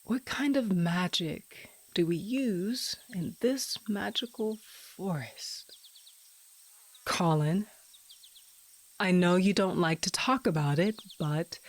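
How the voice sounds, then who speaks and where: A woman's voice: medium pitch, lively intonation, takes it slowly. A woman acting in an audio drama as an encouraging, pleading wife. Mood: gently coaxing. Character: Naya, wife and former writer, coaxing her stubborn husband.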